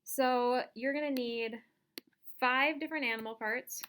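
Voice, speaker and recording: A woman giving a casual step-by-step software tutorial, thinking aloud as she demonstrates each action. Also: sits high, steady tone, unhurried. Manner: informal, instructional, thinking aloud while demonstrating. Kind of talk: casual step-by-step screen-recorded lesson.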